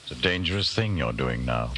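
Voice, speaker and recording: Deep, ominous radio drama narrator. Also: male voice, animated, a little fast, pushed and bright, exaggerated voice. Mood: warning. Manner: dramatic, urgent, admonishing. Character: grave, ominous male narrator addressing the hero. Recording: old radio recording, faint noise.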